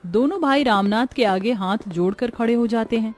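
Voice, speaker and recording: A woman's voice: a little high, normal speed, a little mellow. A woman narrator reading a scripted moral story in a solemn storytelling manner.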